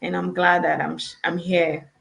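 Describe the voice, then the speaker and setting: A woman with a Nigerian accent speaks over a video call, her voice low and slightly gravelly. She tells her story conversationally, pausing on fillers and restarting sentences as she goes. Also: little pitch movement, crowd noise, rough recording. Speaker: guest entrepreneur. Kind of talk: casual video-call interview.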